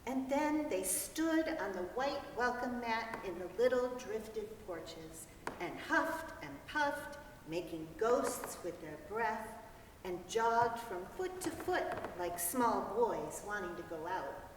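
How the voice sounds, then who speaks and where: A woman's voice: on the high side, animated, slow. A woman giving a dramatic reading of a descriptive literary story. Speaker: reader in a church's dramatic reading. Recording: very echoey hall, distant mic, faint noise.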